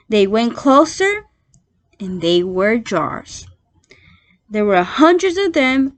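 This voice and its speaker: A woman reading a children's storybook aloud as its narrator. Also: fairly high pitch. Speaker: storybook reader.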